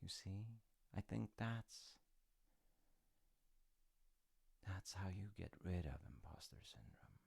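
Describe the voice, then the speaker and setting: A man playing a reflective, encouraging father in an intimate audio roleplay, musing and rambling in a deep, gravelly voice. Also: animated, full character voice. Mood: gently reassuring. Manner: unhurried, musing, gently reassuring.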